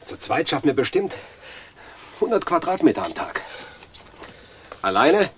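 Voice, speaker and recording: Ein Schauspieler als wahnhafter Anstaltspatient in einem Hörspiel, der eindringlich und verschwörerisch von seinen Ängsten redet. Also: male voice, fairly high pitch, very animated, a little slow, noisy background. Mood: obsessive, earnest. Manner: urgent, conspiratorial explaining. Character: delusional asylum patient, obsessively weeding, fearful of women. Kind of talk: German radio drama acting.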